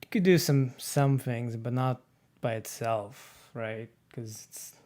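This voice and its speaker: A man's voice: mid-range voice, takes it slowly, a little mellow. A man with a mid-range voice comments on a game he is playing. His talk is casual and offhand, with a skeptical edge. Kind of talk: casual chat over a game playthrough.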